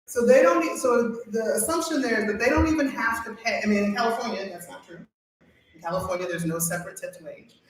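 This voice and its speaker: A woman's voice: a little high, fast, a little hiss or hum. Woman explaining policy in an echoey room. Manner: explanatory, asks rhetorical questions. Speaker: policy expert guest. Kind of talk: casual panel discussion. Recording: echoey room, far from mic.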